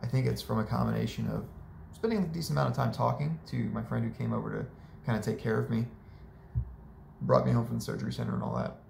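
A hoarse young man giving a casual, chatty update in a small living room, filmed by a camera a few meters away. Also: medium pitch, fast, distant mic, slight background noise. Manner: relaxed and chatty, with many fillers. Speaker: vlogger. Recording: echoey room, voice off-mic.

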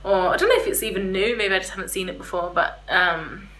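Young female vlogger, casual and a little hesitant, bright tone, recorded in an echoey room. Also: medium pitch, quick pace. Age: young adult. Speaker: vlogger reviewing restaurants. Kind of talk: casual chat to camera. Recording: roomy echo, faint background hiss.

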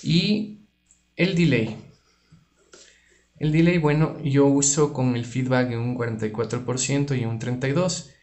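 A man giving an informal guitar effects tutorial, explaining things in a halting way with restarts. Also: medium pitch, darkish tone. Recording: roomy, reverberant room sound. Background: electric guitar playing.

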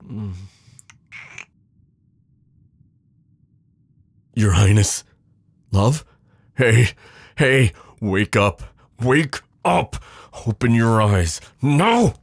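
A man playing a devoted, protective knight in an intimate fantasy romance audio roleplay, urgent and pleading with hushed reassurance. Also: medium pitch, expressive, very slow, vocal fry, no echo, heavily acted. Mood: desperate, pleading. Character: devoted protective male knight, desperate, tender lover.

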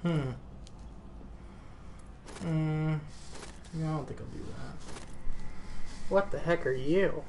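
A woman's voice: low voice, slow pace, pauses often, darkish tone. A woman chatting casually while streaming a co-op video game. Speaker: co-op gaming streamer. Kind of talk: casual gameplay chat. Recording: clear background noise from game stream.

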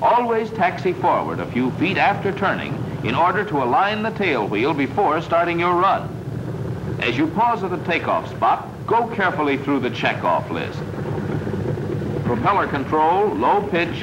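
A man's voice: fairly high pitch, sing-song, a little slow, noisy background. An American man reading scripted instructional narration in a precise 1940s training film style, on a vintage soundtrack.

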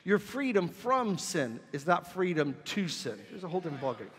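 Male pastor, high voice, emphatic sermon delivery, shouting at the peaks, long church reverb. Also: big pitch swings, brisk. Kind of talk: sermon with call-and-response. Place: church sanctuary.

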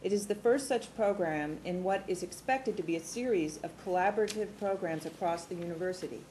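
A woman's voice: on the low side, fairly quick, darkish tone, a little hiss or hum, low-quality recording. A woman in her sixties reading formal program summaries at a board meeting in a large conference room, on a table microphone. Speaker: university administrator presenting programs.

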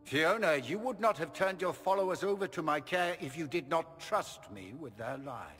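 Villain: smooth, menacing middle-aged magister, grandiose; reverberant hall, soft score underneath. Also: male voice, fairly high pitch, lively intonation, moderate pace. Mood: courteous. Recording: game audio with hall reverb and music.

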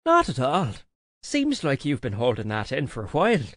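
A woman voicing a motherly older aunt, concerned and gently firm, in an audiobook. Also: a bass voice, huge pitch swings, moderate pace, no room sound, studio quality, pitched below the performer's own voice, heavily acted. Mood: wry. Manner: concerned, direct.

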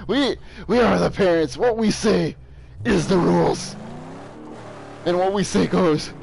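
A man's voice: high voice, measured pace, creaky, darkish tone, slight background noise. A young man playing a video game on stream, chatting crudely and joking with viewers, laughing often. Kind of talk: casual gameplay commentary.

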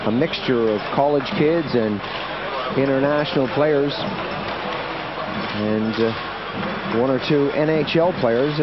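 A man from Canada doing steady, conversational play-by-play commentary in a commentary booth at an ice hockey arena. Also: mid-range voice. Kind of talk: sports commentary. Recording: dull broadcast audio with background noise.

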